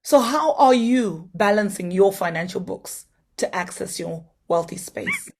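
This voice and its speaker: A woman's voice: medium pitch, expressive, a little slow, slightly rough. Earnest woman exhorting a conference. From Zimbabwe. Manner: earnest, exhorting, asks the audience direct questions. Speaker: conference opening speaker. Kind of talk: motivational conference address.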